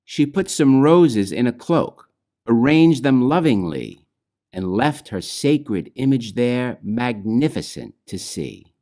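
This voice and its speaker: A man reads rhyming children's storybook verse as its author, in a measured, expressive way with phrase endings trailing softly. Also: medium pitch. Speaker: author reading own children's book. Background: music.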